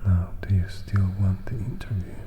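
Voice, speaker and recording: A man voicing a calm, commanding old male vampire in a whispered storybook reading, speaking formally and deliberately. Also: low-pitched, phone-quality sound, a little hiss or hum, lightly acted. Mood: softly probing.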